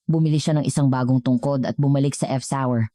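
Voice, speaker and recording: A woman's voice: extremely low pitch, steady tone, quick pace, dark tone, no room sound, clean studio sound. A woman narrating a scripted dramatic story as a storyteller.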